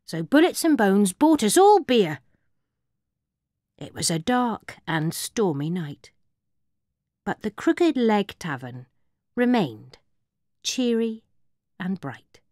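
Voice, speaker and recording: A woman narrates a rhyming children's story. She is expressive and theatrical, with an animated, unhurried delivery and a close, dry sound. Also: medium pitch, no echo.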